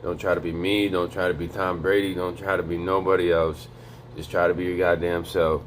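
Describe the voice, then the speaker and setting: A young man with a very deep, flat voice, keeping it hushed and monotone as he gives a casual, profane pep talk. He sounds streetwise and easygoing, and a faint hum sits behind him. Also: fairly quick. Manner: low, quiet monotone to avoid waking a neighbor. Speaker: vlogger. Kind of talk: motivational vlog talk. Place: home in North Dakota.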